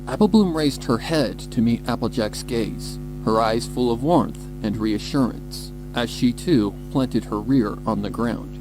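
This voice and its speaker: A man's voice: medium pitch, measured pace, hardly any pauses, low-quality recording. Male story narrator over faint music. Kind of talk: scripted story narration, horror fan fiction. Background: background music.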